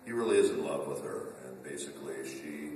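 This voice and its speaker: Man, voice actor on a convention panel, very low voice, distant hall reverb, faint hiss. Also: lively intonation, fairly quick, a little mellow. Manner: chatty, jokey storyteller with many restarts. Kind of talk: casual convention Q&A. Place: large convention function room. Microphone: table mic seen from far camera. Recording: echoey hall from far off.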